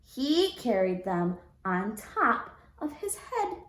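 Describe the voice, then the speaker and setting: A woman teacher reading a storybook aloud to young children in an expressive, deliberate way. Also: on the high side, roomy sound. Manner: deliberate, expressive, prompting listeners with questions.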